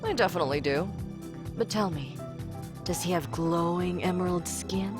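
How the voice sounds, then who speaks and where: An actress voicing a playful, curious alien woman crewmate in a visual novel game, with a fairly deep female voice and a probing manner. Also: normal speed, over music, lightly acted. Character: teasing, curious alien crewmate woman with fangs and furry ears.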